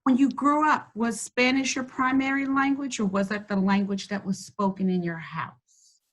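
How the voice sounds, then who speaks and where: A woman hosting a children's read-aloud, encouraging and slow, stretching words as she reads. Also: on the high side, fairly even intonation. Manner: warm, encouraging, slows and stretches words when reading. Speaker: school district host reading to children. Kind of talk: read-aloud lesson and chat.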